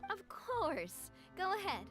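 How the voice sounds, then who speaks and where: A woman playing a cheerful, kindly young leader in a video game, sunny, polite and expressive. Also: very high voice, slow pace, soft background music, heavily acted.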